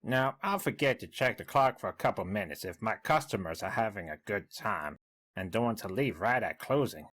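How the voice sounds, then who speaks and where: Man playing a bartender, animated intonation, conversational, bemused, gossipy, audio story delivery. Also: on the low side, normal speed. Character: chatty, bemused bartender recounting an odd customer. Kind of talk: dramatic diary reading for a story.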